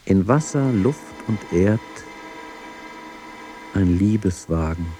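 An actor playing a solemn reader of classical verse in a radio drama, declaiming in measured lines over music. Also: male voice, low-pitched, animated, mellow, slight background noise. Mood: solemn, lyrical.

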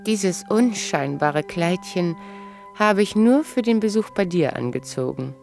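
A proud, knowing, mature wife in a radio drama. She speaks slowly and deliberately with a creaky edge, irony cutting through each phrase. Faint music sits behind her. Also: lowish voice, lively intonation, a little mellow. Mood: proud, ironic. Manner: measured, ironic, deliberate. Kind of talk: radio play acting, literary dialogue.

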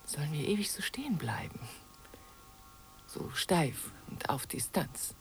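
An actress playing a confused, insistent woman in an intimate radio play, often dropping into a breathy whisper. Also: deep voice, very animated, a little slow. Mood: pleading, intimate. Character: confused, insistent woman before a painter's mirror.

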